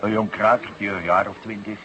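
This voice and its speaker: An actor playing a genial, shrewd middle-aged Dutch police inspector in a radio drama, with drawn-out interjections and an avuncular way of talking. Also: male voice, on the low side, flat delivery, sharp tone, dull audio. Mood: chatty, probing. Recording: noisy, dated radio play recording.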